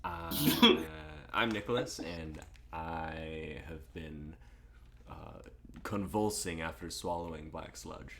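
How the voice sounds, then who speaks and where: A man's voice: rumbling low, animated, slow pace, vocal fry, bright, forceful tone, a little hiss or hum. A man in his twenties hosting a casual group livestream, joking loosely and swearing freely. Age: 20s. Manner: profane, loose and jokey. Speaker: livestream host.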